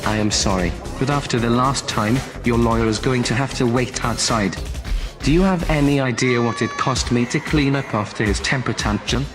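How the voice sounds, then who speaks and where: A testy underworld fence from a science-fiction drama, acted by a man. He is sarcastic and grumbling, with an ordinary male pitch, and music plays clearly behind him. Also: moderate pace, natural voice. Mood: exasperated. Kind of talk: science-fiction film dialogue.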